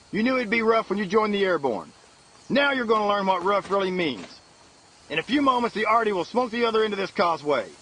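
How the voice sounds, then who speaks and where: An actor playing a gruff, blunt, commanding American army officer in a war video game. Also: male voice, high-pitched, a bit bright, slight background noise. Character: gruff, hard-bitten American army officer rallying troops.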